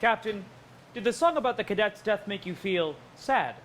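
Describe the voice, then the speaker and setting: A man's voice: high-pitched, lively intonation, normal speed, fairly bright tone. A man playing a naive, literal-minded, inquisitive android officer in a parody stage reading. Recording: live stage recording with clear noise.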